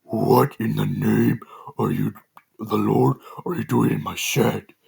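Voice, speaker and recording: A man voicing a menacing masked killer in a slow, low, demonic voice. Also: steady tone, creaky, a little mellow, breathy edge, heavily acted.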